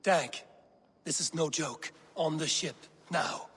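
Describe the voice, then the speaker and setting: An actor playing a stern, blunt Viking warrior leader in a video game, terse and commanding. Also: male voice, on the high side, slow pace, fairly bright tone, husky, big-room sound, heavily acted.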